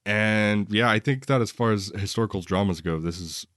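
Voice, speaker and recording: A man's voice: lowish voice, moderate pace, fairly bright tone, dry recording, studio quality. A man co-hosting a podcast, casually talking through a film review in a conversational, opinionated way. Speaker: podcast co-host.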